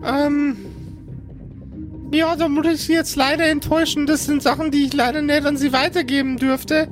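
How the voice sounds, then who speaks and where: A man's voice: extremely high pitch, flat delivery, normal speed, voice pushed up. A man voicing a polite, hesitant female hotline clerk at a superhero agency in an improvised audio drama. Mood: apologetic. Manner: formal, hesitant. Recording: music behind the voice.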